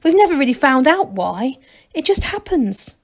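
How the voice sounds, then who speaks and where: A woman's voice: high voice, big pitch swings, normal speed, voice pushed up. A woman voicing an earnest, insistent young male gnome in a children's storybook, plain-spoken. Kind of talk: children's storybook read aloud.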